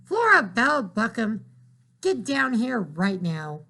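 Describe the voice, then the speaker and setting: A man's voice: sits high, wildly swooping pitch, slow, creaky, sharp tone, heavily acted. A man reading as a stern, impatient mother. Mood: scolding. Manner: scolding, impatient. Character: stern, absent-minded mother, a writer.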